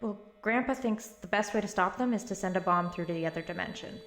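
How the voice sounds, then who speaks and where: A woman's voice: medium pitch, fast, a bit bright, lightly acted. Actress as a determined young woman, kinda exasperated, natural radio drama acting, bit echoey, music behind. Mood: dry, resolute. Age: young adult. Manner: dry, pragmatic, slightly exasperated. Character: determined, matter-of-fact young woman on a secret family mission. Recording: roomy echo with music behind.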